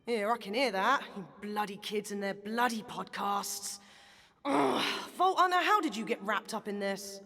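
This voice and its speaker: A woman's voice: medium pitch, normal speed, creaky, pushed and bright, in a large hall. A woman with a British accent playing a blunt, impatient resistance fighter in a video game. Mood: exasperated. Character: gruff, no-nonsense female resistance fighter, bitter, exasperated. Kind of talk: video game character dialogue.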